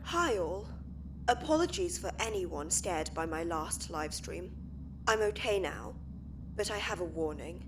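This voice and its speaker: A woman plays an earnest streamer talking straight to her viewers in a lowish, steady voice. There is a constant hiss under the home recording. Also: a little slow. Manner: earnest, urgent address to viewers. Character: earnest female streamer exposing a conspiracy.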